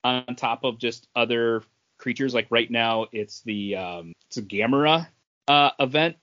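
A man co-hosting a casual gaming chat, talking informally and a bit haltingly in loose, wandering sentences. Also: medium pitch, sharp tone, muffled recording. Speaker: podcast co-host.

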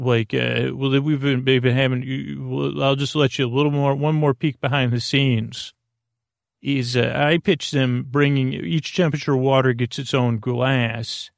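A man's voice: mid-range voice, steady tone, unhurried, creaky, no echo, clean studio sound. A man hosting a silly bedtime podcast in a rambling monologue, hesitant and often trailing off mid-thought. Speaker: podcast host.

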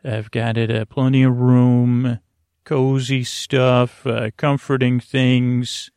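Creaky-voiced man, rambling sleepily. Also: medium pitch, flat delivery, darkish tone, no echo, clean studio sound. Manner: halting, meandering, with repeated words and stretched pauses. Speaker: podcast host. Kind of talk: rambling, soothing bedtime monologue.

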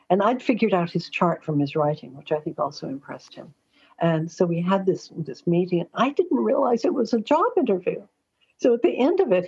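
Husky, forthright American woman. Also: fairly low pitch, darkish tone. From Los Angeles, United States. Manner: forthright, chatty, anecdotal. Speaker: astrologer and columnist, interview guest. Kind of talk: conversational interview storytelling. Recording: slightly dull, lo-fi audio.

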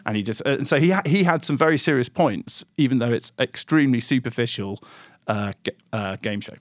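A man's voice: mid-range voice, fairly quick, dry recording. Earnest male panelist, dry close sound. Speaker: podcast panelist. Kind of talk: casual discussion.

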